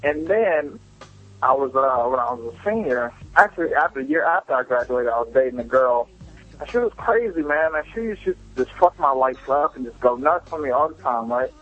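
A man's voice: medium pitch, expressive, brisk. A young man leaving a voicemail for a show, telling casual personal stories in a candid, self-deprecating, profane way. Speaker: listener calling a podcast voicemail. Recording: muffled lo-fi voicemail with music.

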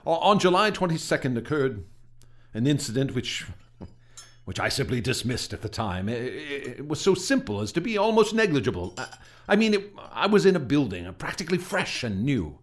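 An actor playing a troubled gentleman recounting frightening events in a radio drama, earnest and hesitant, stammering when unsettled. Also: medium pitch, big pitch swings, a little fast, close to an everyday voice. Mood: flustered, defensive. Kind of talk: radio drama acting, halting testimony.

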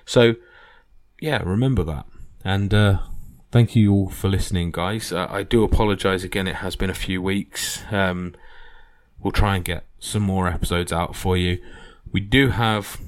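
A man's voice: low-pitched, normal speed. A man hosting a history podcast, talking casually and earnestly with plenty of fillers and restarts. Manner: rambling, earnest, many fillers.

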